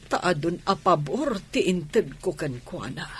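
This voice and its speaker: A woman with an Ilocano accent playing a sorrowful, forgiving older sister in a radio drama, subdued and measured. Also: lowish voice, lively intonation, slight background noise.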